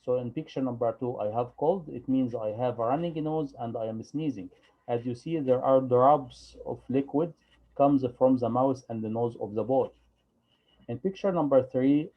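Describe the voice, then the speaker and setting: A man teaches English in a calm, mid-pitched voice. He drills short phrases slowly and patiently so students can repeat them. The recording sounds dull and lo-fi. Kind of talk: online English lesson.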